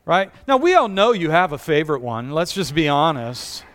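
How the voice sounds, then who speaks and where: A man's voice: fairly high pitch, big pitch swings, normal speed, fairly bright tone. A man preaching a conversational sermon to a church congregation, often asking the audience questions. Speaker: pastor.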